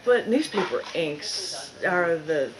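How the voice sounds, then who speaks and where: Low-voiced woman reading poetry aloud. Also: animated, slow, crowd noise, low-quality recording. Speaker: poet reading own work. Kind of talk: live spoken-word poetry reading. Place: live reading in a large bookstore.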